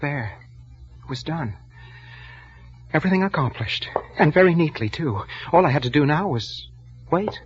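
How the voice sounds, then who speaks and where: An actor playing a nervous, guilt-ridden husband who narrates his own crime in an intense, halting 1940s radio style. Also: fairly high pitch, animated, close to an everyday voice. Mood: cold, scheming. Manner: intense, haunted, halting delivery. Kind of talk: 1940s radio thriller acting, first-person narration. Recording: muffled vintage radio broadcast, music underneath.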